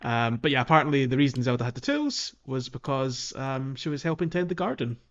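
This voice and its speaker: A man's voice: medium pitch, lively intonation, fairly quick, a bit bright, lo-fi sound. A man co-hosting a podcast, talking casually about a video game playthrough in a chatty, hesitant way. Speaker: podcast co-host.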